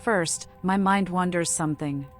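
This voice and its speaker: A woman's voice: on the low side, measured pace, faint music behind, close to an everyday voice. A woman voicing an eccentric, whimsical mad female vampire who speaks cryptically and theatrically, as a video game protagonist. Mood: curious, cryptic. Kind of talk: fan-voiced game protagonist.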